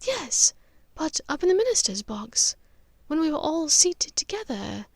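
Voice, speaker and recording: Woman voicing soft, high-pitched aristocratic witch mother. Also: sing-song, unhurried, no echo, full character voice. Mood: wistful, reminiscing. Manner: soft, hesitant, wistful, breathy at times. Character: gentle, knowing, intuitive aristocratic witch mother.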